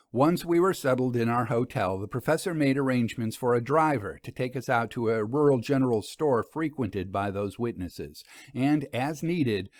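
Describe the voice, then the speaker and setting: A man's voice: medium pitch, no room sound, studio quality. A man reading a mystery story aloud as scripted narration.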